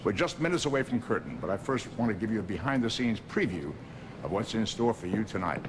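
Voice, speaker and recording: A man's voice: lowish voice, very animated, fast talker, noisy background. A man presenting as host in a scripted style. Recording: loud music under the voice.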